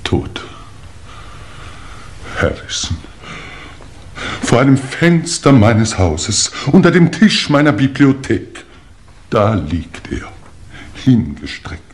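An actor playing a pompous, shaken English lord in a 1950s German radio crime play, theatrical, with hesitant pauses. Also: male voice, mid-range voice, big pitch swings. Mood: shocked, grave. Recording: old noisy 1950s radio recording.

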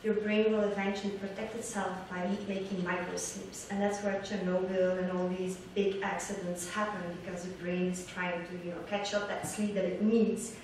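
A middle-aged woman giving an academic conference lecture, explaining haltingly as she searches for words and repeats herself. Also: mid-range voice, flat delivery, darkish tone. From Belgium. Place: large lecture hall, indoors, at a lectern. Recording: echoey hall from far off, background noise.

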